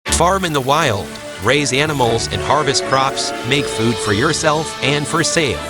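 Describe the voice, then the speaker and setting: A man's voice: mid-range voice, lively intonation, measured pace, loud music behind the voice. A man reading a scripted advert in an upbeat, promotional way. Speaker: ad voice-over.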